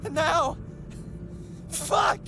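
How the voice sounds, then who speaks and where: A man's voice: very high voice, very slow, over music, exaggerated voice. A man playing an angry, distrustful young man in a horror game, agitated, confrontational and emotionally raw. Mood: hurt rage. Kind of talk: horror game cutscene acting.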